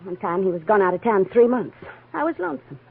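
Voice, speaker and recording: An actress playing a weary, anxious frontier woman with plain folksy speech and a husky voice in a 1950s radio western. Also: mid-range voice, very animated, fast, full character voice. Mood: confiding, tense. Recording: narrow vintage 1950s radio recording.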